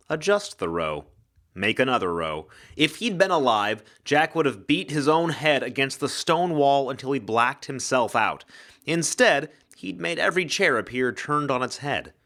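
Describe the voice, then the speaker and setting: A man's voice: medium pitch, sharp tone. A man giving a dramatic scripted narration of a hard-boiled mystery story. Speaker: actor reading an audiobook-style story.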